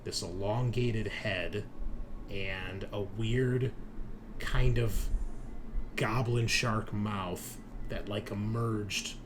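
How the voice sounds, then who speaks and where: A man's voice: lowish voice, fairly even intonation, very slow. A man working as a game master, narrating and recapping a tabletop roleplaying session in a conversational, improvised way. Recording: clear background noise.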